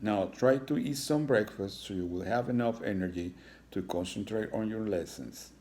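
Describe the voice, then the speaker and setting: A man's voice: lowish voice, normal speed, darkish tone, natural voice. A man voicing a caring iguana mother in a storybook, coaxing and reassuring her child. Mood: comforting.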